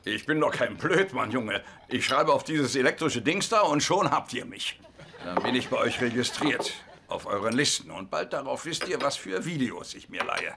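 An actor playing an excitable, paranoid old man in a dubbed sitcom, theatrical and indignant, with noise and clicks in the recording. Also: mid-range voice, normal speed, vocal fry. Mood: suspicious, indignant. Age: older.

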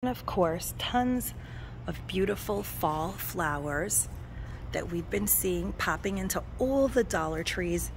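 A woman's voice: lowish voice, expressive, slow, gravelly, background noise. A woman chatting casually and enthusiastically as she shows products in a store, recorded on a handheld phone. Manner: gushing, enthusiastic about products. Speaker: shopping vlogger. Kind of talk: casual store walk-through chat. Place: inside a large retail store.

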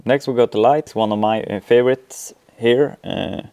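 A man in his thirties with a Swedish accent, giving an informal, halting software walkthrough full of ums. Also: medium pitch, gravelly, clear, resonant tone. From Sweden. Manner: hesitant, halting delivery with frequent ums. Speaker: tutorial presenter.

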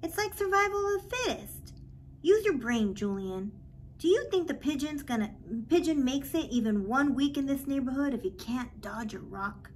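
A woman reading aloud the part of a brash, goading neighborhood boy from a storybook in an animated way. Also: sits high, moderate pace, a little hiss or hum, pitched above the performer's own voice.

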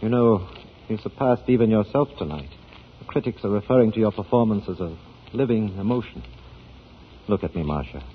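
An actor in a 1940s radio drama playing a jealous, controlled husband with a formal, measured manner. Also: male voice, fairly low pitch, lively intonation, lightly acted. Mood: cold suspicion. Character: controlled, jealous, brooding husband of an opera star. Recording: tinny, noisy 1940s radio broadcast.

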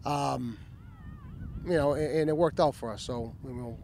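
A man in his forties, a high school football coach, giving a matter-of-fact, casual postgame interview outdoors into a handheld microphone. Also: normal speed, vocal fry, a little mellow, a little hiss or hum. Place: outdoor football stadium field at night. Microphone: handheld microphone held at chest.

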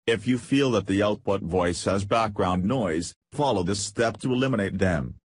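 Guy doing scripted tutorial voiceover, bit low and raspy, really dry sound. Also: moderate pace, dry recording. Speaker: tutorial narrator. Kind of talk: scripted step-by-step software tutorial narration.

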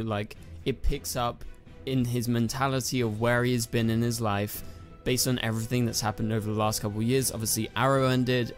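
A young man hosting a channel, talking through entertainment news casually in a hoarse, croaky voice that is going, with rambling asides and fillers. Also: mid-range voice. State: hoarse, losing his voice. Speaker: channel host.

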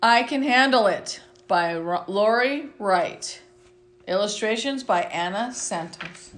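A middle-aged woman reading a children's picture book aloud to students in an expressive way. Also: medium pitch, takes it slowly. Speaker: school vice principal reading to students. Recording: roomy, echoing sound.

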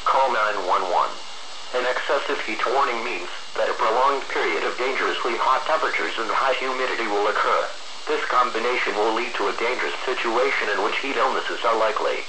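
A synthetic man's voice with an American accent reads a scripted weather bulletin as a radio announcer, in a formal, measured, impersonal way. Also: medium pitch. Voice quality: synthetic text-to-speech voice. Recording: noisy, echoey weather radio broadcast.

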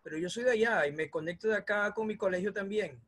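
A man's voice: high-pitched, quick pace, fairly bright tone. A man from Ecuador, a doctoral student taking part in an online class, introducing himself courteously over a video call.

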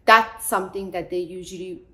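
Bright-voiced middle-aged woman therapist. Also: lowish voice, steady tone. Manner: measured, explanatory, gestures while talking. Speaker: psychotherapist guest. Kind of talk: earnest interview answers. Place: bright living room indoors. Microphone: lapel mic.

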